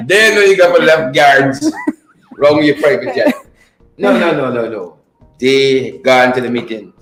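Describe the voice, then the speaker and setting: A man's voice: mid-range voice, expressive, a little slow, echoey room, music in the background, rough recording. A man co-hosting a casual current-affairs chat, joking and laughing as he slips into dialect. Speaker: co-host.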